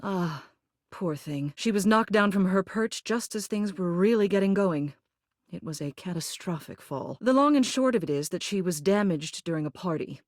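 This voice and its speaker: A woman acts out an older lady mayor from a video game, poised and commanding. Her voice sits a little low and is recorded very dry. She speaks with genteel, theatrical polish, like someone used to running things. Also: animated, moderate pace, dry recording, lightly acted. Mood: solemn, regretful. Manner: genteel, theatrical, uses fond endearments. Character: gracious, commanding older lady mayor, a living household object. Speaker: mayor and homeowners' association president. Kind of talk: scripted video game character dialogue.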